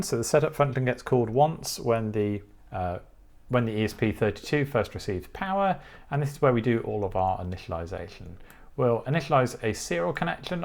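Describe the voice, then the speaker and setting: A man presenting a technical tutorial, explaining step by step in a methodical way. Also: mid-range voice. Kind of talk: explanatory programming tutorial, semi-scripted walkthrough.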